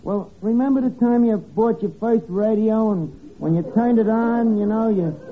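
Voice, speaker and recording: A man's voice: high-pitched, normal speed, narrow, tinny audio, natural voice. Man playing a slangy wisecracking tavern manager, noisy old radio. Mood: wry, cocky. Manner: slangy, dry wisecracking with hesitations. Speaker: comedy star. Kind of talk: 1950s radio sitcom acting. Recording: noisy old radio broadcast, studio audience. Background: live audience.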